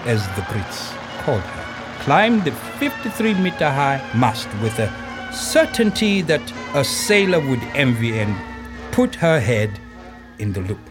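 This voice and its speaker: A proud circus showman in an audio play, telling his story slowly and with suspense. His pitch swings widely between measured, emphatic pauses. Music plays behind him. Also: very animated. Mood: proud, recounting. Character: circus troupe director recalling a high-wire act, dramatic, proud. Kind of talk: dramatic first-person storytelling in an audio play.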